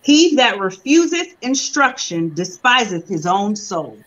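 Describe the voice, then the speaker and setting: A woman's voice: medium pitch, big pitch swings, fairly bright tone, dull audio. Young woman preaching over a video call. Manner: reads scripture slowly, then explains in casual preaching talk. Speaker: reverend preaching. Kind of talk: sermon. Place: room at home. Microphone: video call webcam.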